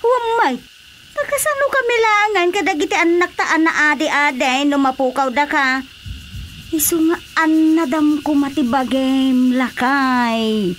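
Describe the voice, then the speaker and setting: A woman's voice: high-pitched, measured pace, a bit bright, slight background noise. A woman acting an anxious, nagging fisherman's wife in an Ilocano-accented radio drama comedy, pleading and scolding. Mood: worried pleading. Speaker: voice actor.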